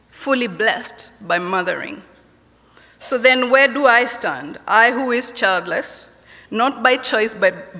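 A woman in her forties with a Kenyan accent giving a personal testimony in a university chapel, reading from notes in a measured, rhythmic way with repeated refrains. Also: medium pitch, bright, forceful tone. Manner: slow, measured, rhythmic repetition. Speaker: chapel speaker, professor. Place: university chapel stage at a lectern. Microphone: lectern microphone. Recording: long chapel hall reverb.

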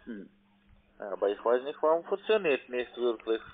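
Halting foreign-accented man on the phone. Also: medium pitch, sing-song. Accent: foreign accent in German. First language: not German. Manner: halting, broken grammar. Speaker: phone scam caller posing as payment agent. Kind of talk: phone conversation, giving instructions. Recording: muffled narrowband phone line.

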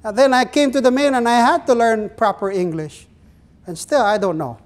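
Filipino pastor guy, middle-aged, earnest sermon, drags out phrases and quizzes the pews, small church, lapel mic. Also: very high voice. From Philippines. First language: Ilonggo. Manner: earnest preaching, drawn-out phrases, asks the congregation questions. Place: small church stage with pulpit.

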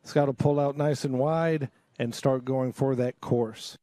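A man commentating live on a slalom water-ski run, calling the passes with pauses and fillers. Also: medium pitch, fairly even intonation, dark tone, dry recording, clean studio sound. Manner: enthusiastic play-by-play with filler pauses.

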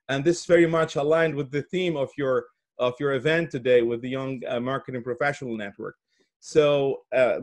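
A middle-aged man from Brazil giving a presentation on a video call, speaking haltingly with repeated words and pauses. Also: a little high. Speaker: guest speaker giving opening remarks. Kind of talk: presentation at an online summit. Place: indoor office, on a video call.

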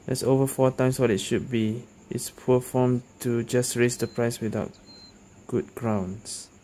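A man's voice: mid-range voice, steady tone, measured pace, mellow, a little hiss or hum. Man casually commentating on a game. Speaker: gamer host. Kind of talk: casual game playthrough commentary, reading choices aloud.

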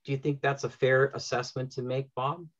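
Male, middle-aged, medium pitch, panel moderator, slightly muffled video-call recording. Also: level tone, normal speed, a bit bright. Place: small room at home, indoors. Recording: dull video-call audio.

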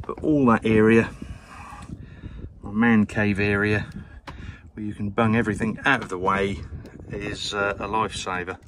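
A man's voice: fairly low pitch, noisy background. Man, fifties, chatty and rambling, casual vlog talk, handheld camera in a small boat cabin. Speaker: vlogger. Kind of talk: casual tour narration. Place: narrowboat cabin, small indoor space. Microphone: handheld action camera.

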